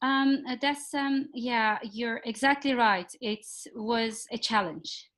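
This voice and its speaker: Woman, fairly high voice. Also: fairly bright tone. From Turkey. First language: Turkish. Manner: thoughtful, frequent filler pauses. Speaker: guest, executive coach. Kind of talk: podcast interview.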